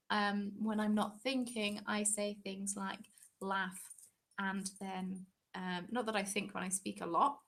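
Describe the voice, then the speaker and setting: A woman's voice: mid-range voice, a bit bright. A young English woman hosting a craft channel's live stream, chatting casually and rambling with frequent ums.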